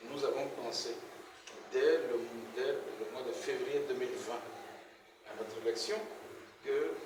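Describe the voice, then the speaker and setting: A man with a Beninese French accent speaking publicly as an organiser at a press conference. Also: mid-range voice. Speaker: event organiser and official. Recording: echoey noisy room, far from mic.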